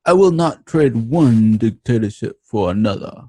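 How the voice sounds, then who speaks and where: An actor playing a wary, principled Roman senator in a radio-drama read. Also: male voice, medium pitch, animated, normal speed, dark tone, slightly rough, no room sound, studio quality. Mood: alarmed, sceptical. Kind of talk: online table-read radio drama acting.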